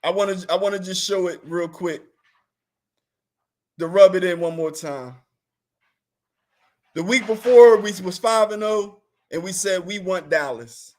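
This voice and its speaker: A man's voice: high-pitched, unhurried, pauses often, a little mellow. A man hosting a show, chatting casually and comically, slangy and rambling, often laughing. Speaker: host. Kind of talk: comedic casual chat.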